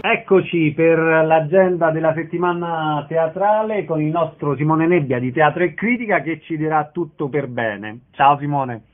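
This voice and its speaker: A man's voice: on the high side, normal speed, few pauses, soft background music. An Italian man hosting live radio, linking guests in a friendly, informal way. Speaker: radio host. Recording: thin phone-like radio sound.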